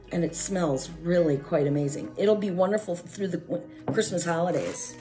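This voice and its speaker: A middle-aged American woman presents products in a casual, chatty vlog style. Her medium-pitched voice is under the weather with a chest cold. Music plays behind her. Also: darkish tone. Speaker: unboxing vlog host. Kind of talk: casual product review chat. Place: small room at home, at a table.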